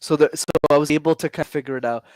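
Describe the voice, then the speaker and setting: A man's voice: fairly high pitch, fairly even intonation, very fast, darkish tone, no echo. A man from Quebec being interviewed as a speaking coach, telling his story earnestly. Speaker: guest, public speaking coach. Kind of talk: casual interview.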